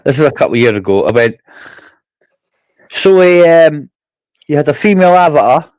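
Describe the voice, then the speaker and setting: A man rambling through casual, sweary banter. His voice sits a touch high and swings up and down a lot. It sounds close and dry, with no room around it. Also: expressive, moderate pace, darkish tone, no echo, poor audio. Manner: rambling, restarts, laughs often.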